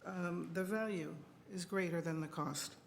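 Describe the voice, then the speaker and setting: A woman giving a measured, deliberate public statement at a committee meeting as a residents' representative. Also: lowish voice, creaky. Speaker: residents' association co-president speaking at a committee. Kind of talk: public deputation. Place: indoor committee meeting room. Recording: background noise in meeting room.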